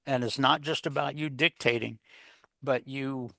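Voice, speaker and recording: Bright-voiced man, emphatic and conversational. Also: medium pitch, fairly even intonation, a little slow, creaky, no room sound. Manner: emphatic, rambling, folksy, repeats himself. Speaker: executive coach, interview guest. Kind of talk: conversational explanation of leadership advice.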